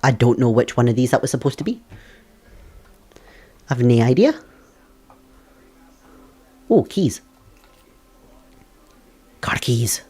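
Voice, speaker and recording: A man's voice: mid-range voice, sing-song, slow, pauses often, mellow, breathy edge. A young Scottish man streaming a puzzle game, chatting with viewers, thinking aloud and laughing often. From Scotland. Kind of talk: live game stream chat.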